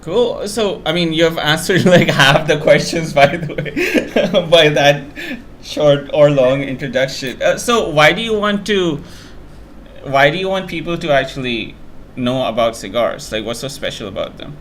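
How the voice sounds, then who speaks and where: A man's voice: on the high side, animated, a little slow, fairly bright tone, a little hiss or hum. A man hosting a casual interview show, talking in a rambling, self-deprecating way.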